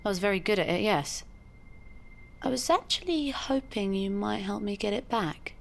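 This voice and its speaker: A woman's voice: medium pitch, lively intonation, normal speed, gravelly. A woman acting a needy, unstable former estate agent in an audio drama, hesitant and anxiously repeating herself. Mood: nervous, pleading. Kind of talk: naturalistic audio fiction acting.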